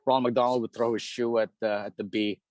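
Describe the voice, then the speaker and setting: A man's voice: medium pitch, level tone, a little fast, a little mellow, no room sound, clean studio sound. A man from the Philippines, a hockey repair shop owner, chatting casually as a podcast guest, rambling with lots of "dude" and "you know".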